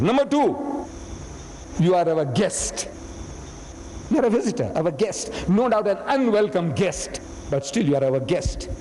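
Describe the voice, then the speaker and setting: A man putting on a calm, measured scholar. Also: a little high, long reverb tail, slight background noise, rough recording. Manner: slow, measured, deliberate.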